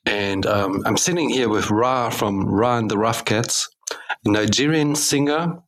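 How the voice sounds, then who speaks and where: A man's voice: fairly low pitch, unhurried, a bit bright, a little hiss or hum, poor audio. A man hosting a radio show, talking loosely and chattily with many fillers, interviewing a musician at a live music event. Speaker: radio host.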